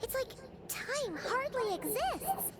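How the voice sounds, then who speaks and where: A woman voicing a small, chatty, squeaky female companion in a cartoonish video game style, with loud music behind. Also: sing-song, slow pace, full character voice. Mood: musing.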